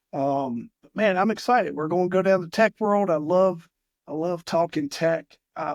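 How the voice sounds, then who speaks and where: A man's voice: fairly high pitch, no echo. A man with a Southwest Virginia accent hosting a podcast interview, talking loosely with many fillers and restarts. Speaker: podcast host. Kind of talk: conversational interview.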